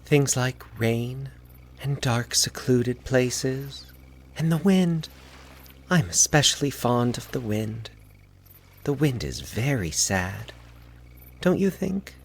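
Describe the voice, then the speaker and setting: A man voicing a childlike, lonely woman with a dreamy, wistful and excitable manner in a scripted horror story. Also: mid-range voice, slow, vocal fry, pauses often, slight background noise, pitched above the performer's own voice. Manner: breathless, wistful, insistent.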